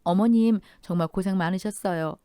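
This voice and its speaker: Woman voicing widowed daughter-in-law, emotional, raised pitch, pleading and reproachful. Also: normal speed, warm tone, clean tone, no room sound, lightly acted. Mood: tearful. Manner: tearful, pleading, then sharply reproachful.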